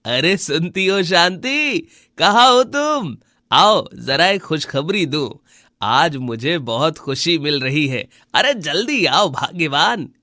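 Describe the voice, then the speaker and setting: A man's voice: fairly high pitch, very animated, takes it slowly, sharp tone, dry recording, studio quality, exaggerated voice. A man voicing a scheming, jealous village husband in a cartoon moral story, with exaggerated delivery. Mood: gloating. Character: scheming, jealous village husband, gloating over a neighbour's misery. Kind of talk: Hindi animated moral story dialogue.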